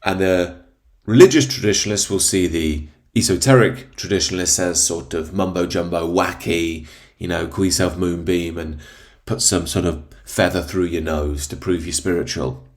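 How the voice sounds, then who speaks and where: A man's voice: sits low, fairly even intonation, normal speed. A man in his thirties with a cold-stuffed voice, hosting a thoughtful spiritual commentary in a rambling, reflective way. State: congested from a cold. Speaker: channel host.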